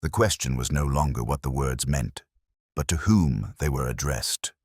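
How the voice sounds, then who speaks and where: A man narrating a scripted mystery story in a measured, literary manner. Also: very deep voice, breathy edge, dry recording, studio quality.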